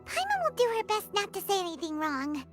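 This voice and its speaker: A woman voicing a tiny, excitable and cheeky childlike fairy companion in an anime video game, with a squeaky voice. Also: brisk, full character voice. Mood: worried. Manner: refers to self in third person, exclamatory. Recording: game music behind the voice.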